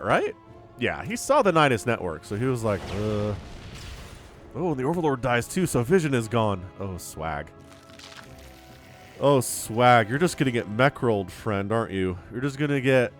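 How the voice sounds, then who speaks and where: A man shoutcasts a strategy game with a lively, widely swinging pitch. He talks casually and chattily, full of slangy asides, sounding slightly sniffly with a cold. Music plays behind his voice. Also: moderate pace. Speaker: esports shoutcaster. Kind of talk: casual live game commentary.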